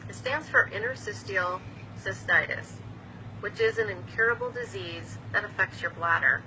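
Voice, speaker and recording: A woman's voice: medium pitch, normal speed, background noise. A woman in her fifties, a patient advocate, explaining an illness to camera in a plain, patient way with small restarts. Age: 50s. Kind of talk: earnest explanatory talk to camera.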